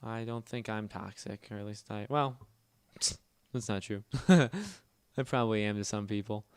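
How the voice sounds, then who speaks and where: A man hosting a casual gaming livestream, talking fast and loud with a nasal voice and rambling as he answers chat. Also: on the low side, clear, resonant tone, no room sound. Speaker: livestream host.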